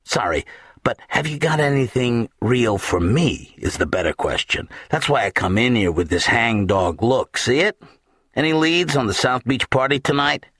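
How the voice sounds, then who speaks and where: A weary tabloid humor writer, voiced by a male audiobook narrator, speaks with dry sarcasm. His voice is husky, recorded close and dry. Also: medium pitch, expressive, moderate pace, fairly bright tone, no room sound, voice pushed up. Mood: sardonic pleading.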